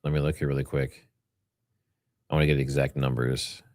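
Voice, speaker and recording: A man's voice: very deep voice, flat delivery, brisk, a little mellow, no echo, studio quality. A man hosting a livestream, giving informal, rambling commentary and partly reading from notes. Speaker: livestream host.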